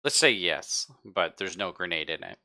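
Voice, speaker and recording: A man narrating a tabletop game casually as game master, often pausing to search for his words. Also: low voice, animated, sharp tone.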